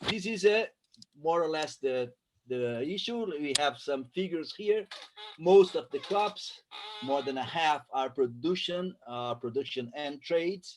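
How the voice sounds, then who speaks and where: A man with a Brazilian Portuguese accent walks through statistics on his slides. He speaks haltingly over a video call, pausing often to search for the right words. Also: a little high, lively intonation, background noise. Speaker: guest presenter. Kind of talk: slide presentation.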